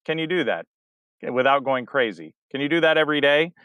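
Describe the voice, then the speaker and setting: A man's voice: on the high side, animated, a little fast, a bit bright, clean tone, dry recording. A man, a community theater director, chatting casually as an interview guest, rambling a bit and laughing easily.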